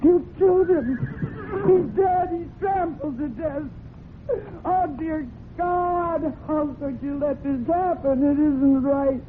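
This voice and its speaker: A thin, hissy old radio recording carries a fraught woman who fiercely protects her horses. Her voice sits high and has the heightened, breathless urgency of classic mystery drama, as if every word matters to her. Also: takes it slowly. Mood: anguished, horrified. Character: distraught, fiercely protective horsewoman narrating her own crime story. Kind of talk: dramatic old-time radio acting with first-person narration. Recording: muffled phone-like old radio recording with hiss.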